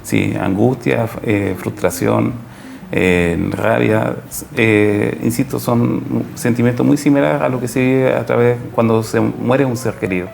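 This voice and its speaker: A Chilean man, a social worker guest, explaining conversationally in a measured way, pausing to find words. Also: mid-range voice, steady tone, creaky, darkish tone. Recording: faint background noise.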